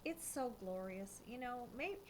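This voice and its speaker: A woman's voice: on the high side, expressive, measured pace, background noise. An older woman hosting a casual faith talk show on radio, chatting and laughing with her guests.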